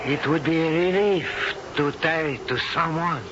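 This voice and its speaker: An actor playing a proud, anguished older Spanish husband in a halting, melodramatic 1970s radio drama style. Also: male voice, fairly high pitch, noisy background, close to an everyday voice. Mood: sorrowful, confessing. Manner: slow, halting, melodramatic delivery. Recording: dull old radio broadcast with music.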